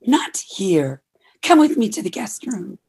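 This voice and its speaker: A woman's voice: mid-range voice, sing-song, a little slow, gravelly, very noisy. A woman playing a melodramatic, swooning married lover in a radio romance parody, recorded over a home video call. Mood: amorous. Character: breathless, melodramatic married lover in secret affair.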